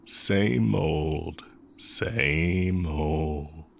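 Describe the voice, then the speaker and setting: Very deep, unhurried villainous magic teacher played by a man. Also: creaky, slight background noise, full character voice. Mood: fond, musing. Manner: unhurried, wry, condescending yet affectionate. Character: exiled heretic dark-magic teacher, smug, fond, villainous. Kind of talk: intimate audio roleplay, addressing the listener directly.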